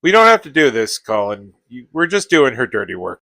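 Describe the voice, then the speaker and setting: An actor in a comic radio play playing a reluctant young sidekick who complains in a whiny, sarcastic, exasperated way. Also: male voice, medium pitch, big pitch swings, brisk, creaky, sharp tone, no echo, full character voice.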